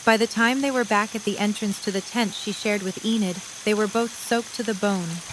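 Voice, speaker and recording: A woman's voice: medium pitch, fairly even intonation, moderate pace. A woman narrator reading scripted story prose for an audio drama. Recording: clear rain noise behind. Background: rain ambience.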